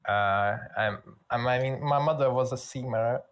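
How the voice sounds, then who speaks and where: A man's voice: mid-range voice, normal speed. A man playing a tabletop game, bantering casually with frequent stammers and repeated words.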